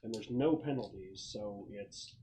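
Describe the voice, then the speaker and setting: A man's voice: lowish voice, measured pace, mellow. A man casually refereeing a tabletop roleplaying game as game master, explaining rules and outcomes. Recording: clearly noisy room recording.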